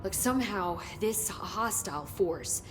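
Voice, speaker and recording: An actress playing an earnest, excitable young scientist in a video game, talking in a halting, slightly rambling way. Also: female voice, mid-range voice, over music, natural voice. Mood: nervous, earnest. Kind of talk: cinematic video game acting. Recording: faint ambient noise.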